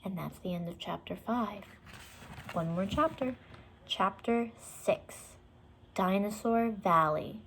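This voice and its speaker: A woman's voice: medium pitch, expressive, slow pace, a bit bright, a little hiss or hum, low-quality recording. A woman storyteller narrating a children's book, with short explanatory asides for young listeners.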